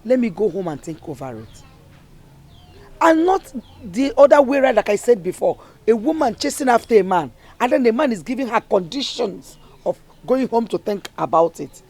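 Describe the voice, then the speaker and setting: A woman's voice: a little high, big pitch swings, moderate pace, mellow. An actress playing a worried middle-aged mother, lecturing her daughter earnestly.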